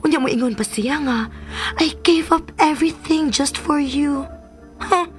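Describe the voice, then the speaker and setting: A woman's voice: sits high, measured pace, faint music behind. A Filipino actress playing a bitter, sarcastic, lovelorn woman in a radio drama, drawing out her lines with scorn. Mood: bitter, mocking. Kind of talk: Philippine radio drama acting.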